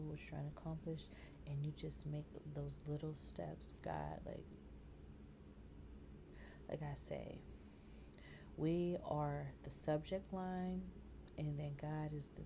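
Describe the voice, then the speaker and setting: A woman's voice: sits low, gravelly, background noise. A woman in her thirties talking casually to camera in a vlog, chatty and rambling with lots of fillers, encouraging her viewers. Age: 30s. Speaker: vlogger.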